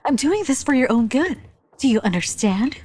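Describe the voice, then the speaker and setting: Haughty, high-voiced noble matriarch. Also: female voice, expressive, normal speed, a little mellow, a little hiss or hum. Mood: stern scolding. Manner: haughty, commanding, scolding. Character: imperious noble mother-in-law, a scolding, sharp-tongued matriarch. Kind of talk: dubbed period drama acting.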